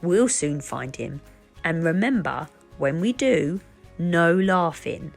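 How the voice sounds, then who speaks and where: Kindly male picture-book keeper, read by a woman: patient, gently amused, slightly husky, very varied melody. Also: lowish voice, lively intonation, slow, soft background music, full character voice. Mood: kindly, encouraging. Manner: gentle, calling, reassuring. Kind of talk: children's storybook character voice.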